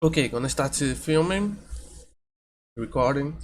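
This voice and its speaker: A man's voice: fairly high pitch, expressive, slight background noise. A man, a vtuber talking casually to his stream, thinking aloud with many restarts. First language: Portuguese. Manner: halting, self-correcting, thinking aloud. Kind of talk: casual reaction stream chat. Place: home room with air conditioner hum.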